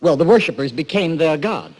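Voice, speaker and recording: An actor playing an obsessed, imperious explorer in a 1940s radio drama, intense and theatrical. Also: male voice, on the high side, lively intonation, a little fast, a little mellow, breathy edge. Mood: fervent. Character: obsessed, imperious male explorer back from the jungle.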